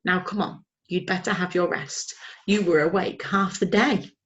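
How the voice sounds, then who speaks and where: A woman voicing a patient, tired mother barn owl who speaks in a calm, soothing but firm way. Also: fairly low pitch, normal speed, dull audio, natural voice.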